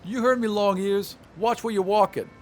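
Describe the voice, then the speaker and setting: A man voicing a rude, gruff human passerby who sneers and taunts in a tabletop fantasy game. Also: high voice, steady tone, moderate pace, a little mellow, faint music behind, voice pushed up, natural voice. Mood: sneering. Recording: clearly noisy recording.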